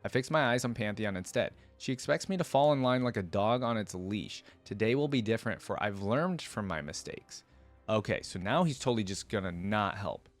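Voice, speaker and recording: A man's voice: fairly low pitch, lively intonation, fairly quick, faint music behind. A man giving reflective reaction commentary and reading game lore aloud in a casual style.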